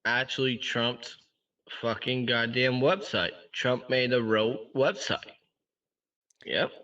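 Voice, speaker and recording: A stranger on a video chat, a man talking in a slow, offhand way and swearing casually. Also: medium pitch, pushed and bright. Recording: muffled distant voice over a video call.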